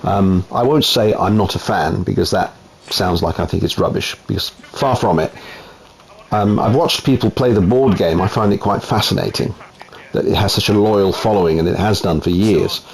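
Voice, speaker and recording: A man's voice: low-pitched, moderate pace, creaky, breathy edge. Italian-accented man reviewing a game. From Italy. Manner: relaxed, chatty, frequent ums. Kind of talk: casual gameplay commentary. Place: home.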